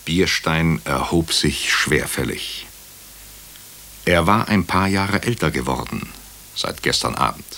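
A doctor recounting a crime story for radio drama. His voice is extremely deep. He tells it soberly, in measured, literary sentences. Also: lively intonation, bright, forceful tone, heavily acted. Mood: sober, observant. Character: male doctor narrating a crime story in first person, sober.

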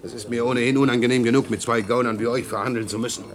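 An actor playing a stiff, earnest, impatient government official in a German radio play. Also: male voice, mid-range voice, steady tone, racing, close to an everyday voice. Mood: indignant, exasperated. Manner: earnest, exasperated.

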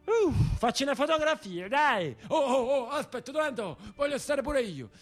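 A male actor with a southern Italian accent playing an excitable, naive village simpleton who repeats himself insistently in a comic radio play. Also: extremely high pitch, normal speed, faint music behind, full character voice. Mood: exasperated, clamouring. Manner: stuttering repetitions, insistent, colloquial.

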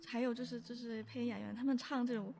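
Higher-voiced woman chatting as guest. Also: steady tone, fast talker, gravelly, darkish tone. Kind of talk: casual chat. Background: background music.

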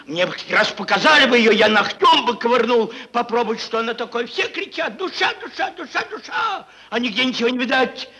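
A man's voice: extremely high pitch, bright, forceful tone, breathy edge, heavily acted. An actor playing a crude, mocking merchant boss who rambles and repeats his words in a theatrical stage style. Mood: bitter contempt. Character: crude, drunken, bullying male merchant boss, cynical and rambling. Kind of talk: theatrical stage acting, Russian classic prose.

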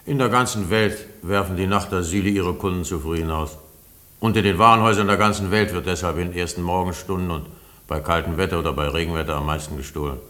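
A stern courtroom judge in a radio drama. The voice is deep and authoritative, formal and dryly lecturing. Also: male voice, quick pace, fairly bright tone. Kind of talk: German radio play acting.

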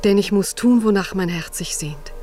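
A woman's voice: medium pitch, moderate pace, mellow, soft background music, natural voice. An actress playing a devout, determined young mother in a 1950s German radio drama, speaking with earnest, lyrical fervour. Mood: fervent, trusting.

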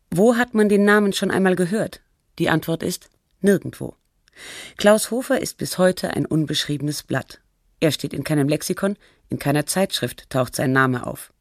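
An actress playing a sober radio feature presenter, reading scripted documentary narration in a measured, formal way. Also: female voice, low voice, no room sound, studio quality.

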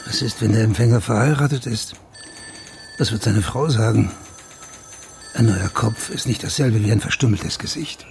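A man acting a dry, sceptical high police official in a German radio crime drama. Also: normal speed, gravelly, long pauses, a little mellow, a little hiss or hum. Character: sardonic high police official on a secret mission.

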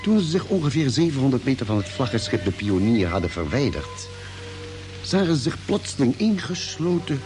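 An actor playing an earnest, grave ship's doctor in a Dutch space radio drama, narrating and speaking with dramatic, clear phrasing. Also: male voice, on the low side.

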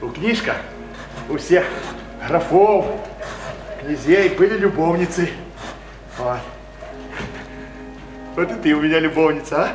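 A man's voice: a little high, takes it slowly, pauses often. An actor in a radio play playing a crude provincial man who taunts his mistress, mocking, boastful and given to repeating himself. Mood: smug, lecturing. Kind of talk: theatrical radio play acting. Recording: echoey stage-like radio play, music behind.

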